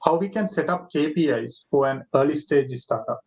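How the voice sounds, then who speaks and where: A man's voice: a little high, moderate pace, a little mellow, rough recording. Hesitant Sri man hosting a webinar. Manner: hesitant, many fillers. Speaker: host. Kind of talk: webinar moderation.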